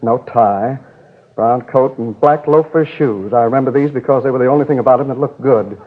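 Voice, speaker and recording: An actor playing a nervous, sarcastic bank manager in a querulous, flustered manner, in 1950s radio drama style. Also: male voice, medium pitch, fairly quick, natural voice. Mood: fussy. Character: fussy, nervous, sarcastic male bank manager, a robbery victim. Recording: old 1950s radio recording, narrow tinny band.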